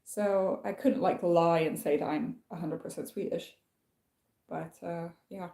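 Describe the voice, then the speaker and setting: Young female streamer, low-ish voice, loose filler-heavy delivery, recorded in a reverberant room. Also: fairly even intonation, a little slow, vocal fry, warm tone. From France. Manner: rambling, many fillers and restarts. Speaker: channel host. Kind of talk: casual livestream chat.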